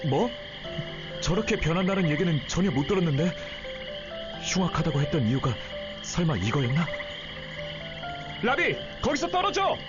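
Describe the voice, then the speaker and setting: Young male game hero, caring, earnest, lively intonation, mid pitch, muffled audio, loud music behind. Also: normal speed, pauses often. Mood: alarmed, urgent. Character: gentle, thoughtful, protective young male companion. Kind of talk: Korean game character voice acting.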